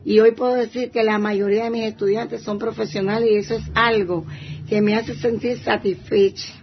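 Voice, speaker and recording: A woman's voice: fairly high pitch, steady tone, moderate pace. An older woman from Santiago de Cuba province with an eastern Cuban accent, a retired teacher giving a personal testimony. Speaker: retired primary school teacher, interviewee. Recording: muffled, faint background noise.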